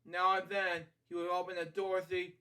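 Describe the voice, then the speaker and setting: A man hosting a bedtime story read-aloud, halting and rambling as he drifts into casual asides. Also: sits high, completely flat delivery, sharp tone. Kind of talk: picture-book read-aloud with casual off-the-cuff commentary.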